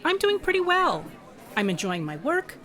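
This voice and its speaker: A flustered widowed private investigator, played by a woman in a radio drama. Her very high, bright voice moves around a lot, wry and exasperated. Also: big pitch swings, normal speed, heavily acted. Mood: defensive.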